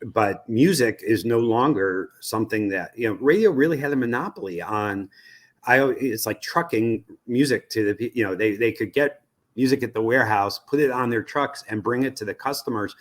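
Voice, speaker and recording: Interview guest, American man, lowish pitch, industry analyst explaining step by step. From United States. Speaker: media research executive, interview guest.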